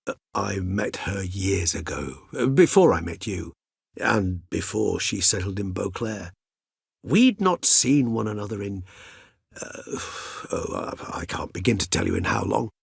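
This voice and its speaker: A middle-aged gentleman vampire from a fantasy video game. His voice is deep and notably husky, recorded very dry and close. He speaks with erudite, old-fashioned courtesy, gentle and philosophical, choosing each phrase with measured care. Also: no echo, full character voice. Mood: amused, persuasive. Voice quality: husky, low. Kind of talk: video game character dialogue.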